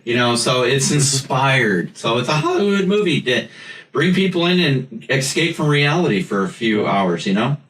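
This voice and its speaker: A man with a Chicago accent chats loosely, laughing often and poking fun at himself. His voice has a bright, forceful edge and sits back from the mic in an echoey room, with faint noise behind him. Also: medium pitch, very animated, moderate pace, distant mic. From United States. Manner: laughs often, rambling and self-mocking. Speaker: podcast host. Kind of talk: casual chat.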